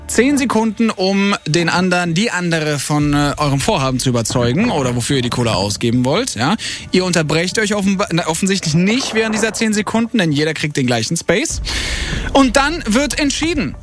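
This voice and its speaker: A high-voiced male radio host with very lively intonation runs a live game show. He is informal and upbeat and likes to repeat himself, with music playing clearly under his voice in the studio. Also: normal speed. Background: music bed.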